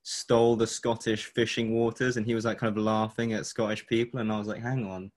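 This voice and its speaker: A man interviewing a guest, asking questions in a relaxed, curious way. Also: lowish voice, brisk. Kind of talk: casual interview chat.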